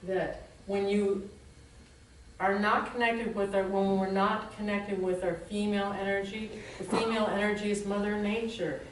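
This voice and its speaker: A woman's voice: mid-range voice, fairly even intonation, moderate pace, slight background noise. A woman spiritual teacher giving an informal, conversational dharma talk to a group, often laughing at herself. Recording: echoey room, voice from far off.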